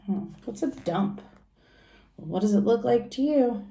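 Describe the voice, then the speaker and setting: A woman's voice: a little high, expressive, a little slow, gravelly, mellow, reverberant. A woman reading a children's storybook aloud like a teacher, adding simple explanations and questions for young listeners.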